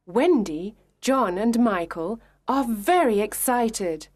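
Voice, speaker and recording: A woman reading a children's story aloud in clear, measured narration for English learners. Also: a little high, lively intonation, a little mellow.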